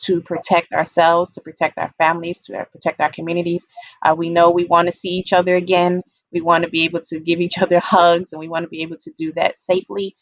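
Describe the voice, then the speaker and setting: A woman giving an informal community talk on a video call, pausing often with hesitant ums. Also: lowish voice, no room sound, dull audio. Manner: hesitant, frequent pauses and ums. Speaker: panelist giving public health talk.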